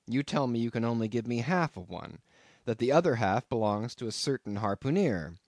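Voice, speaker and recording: A man's voice: medium pitch, lively intonation, a little fast, darkish tone, dry recording, studio quality, natural voice. A man reading an audiobook voicing an indignant young traveller who talks in formal, elaborate sentences. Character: indignant young male traveller, proper and wordy.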